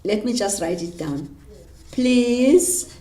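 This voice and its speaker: A woman teacher with a Zulu South African accent, switching between Zulu and English mid-sentence and slowing right down to dictate as she writes. Her voice sits at a middle pitch and rings in a roomy, echoey space. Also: lively intonation, dark tone. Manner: mixes Zulu and English, dictates slowly while writing. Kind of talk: classroom lesson on job applications.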